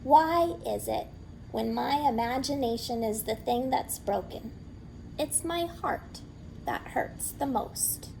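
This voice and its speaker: A woman voicing a gentle, worried male owl in a storybook, speaking softly and hesitantly. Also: a little high, lively intonation, slow pace, creaky, a little hiss or hum, full character voice, rough recording. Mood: sad.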